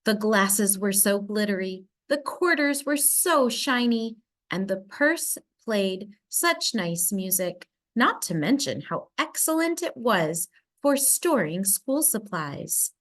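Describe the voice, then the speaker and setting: A woman reading a children's story aloud, narrating expressively with deliberate, dramatic emphasis. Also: mid-range voice, sing-song.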